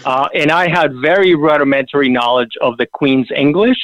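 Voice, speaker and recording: A man's voice: on the high side, moderate pace, a bit bright, no echo, lo-fi sound. A man from Argentina with an Argentine accent, a radio show guest telling a personal story with wry, self-deprecating humor.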